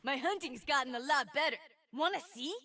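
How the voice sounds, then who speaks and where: A woman's voice: high voice, brisk, bright, forceful tone. A woman voicing an eager, kind, playful young boy adventurer in a video game, with an innocent, exclamatory manner. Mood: eager, proud. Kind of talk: video game character voicelines.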